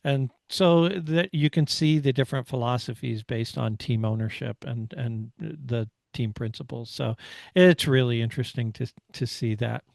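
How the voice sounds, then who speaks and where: A man co-hosting a motorsport discussion, talking casually and haltingly as he analyses. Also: medium pitch, creaky, darkish tone, dry recording, clean studio sound. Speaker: motorsport podcast host.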